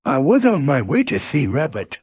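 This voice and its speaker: A man's voice: mid-range voice, huge pitch swings, moderate pace, a little mellow, slightly rough, no room sound, clean studio sound. A man voicing an exuberant, boastful and friendly cartoon tiger for a children's storybook. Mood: cheerful, eager.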